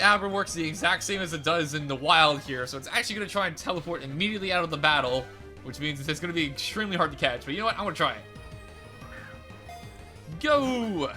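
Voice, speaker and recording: A young man commentating a video game playthrough in a chatty, rambling way, his voice slightly raspy and crackly today. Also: a little high, sharp tone. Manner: rambling, chatty, self-correcting. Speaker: let's play host.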